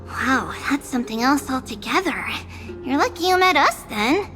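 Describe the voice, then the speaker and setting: A woman's voice: high voice, expressive, normal speed, pushed and bright. A woman voicing a bubbly, bossy young priestess in a game cutscene, cheerful and playful. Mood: teasing. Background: game music.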